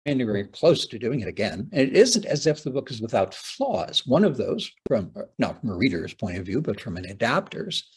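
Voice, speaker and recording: A man giving a prepared talk as a playwright, with wry, opinionated asides and deliberate, dramatic pauses for emphasis. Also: mid-range voice, a little mellow, breathy edge. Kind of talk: prepared conference lecture with personal asides.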